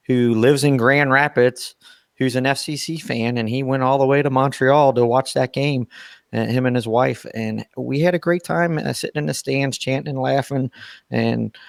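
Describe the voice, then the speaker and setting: A hoarse man, co-hosting a casual sports podcast, rambling and repeating himself in an upbeat way. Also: medium pitch, dry recording, studio quality. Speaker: podcast co-host. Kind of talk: casual chat.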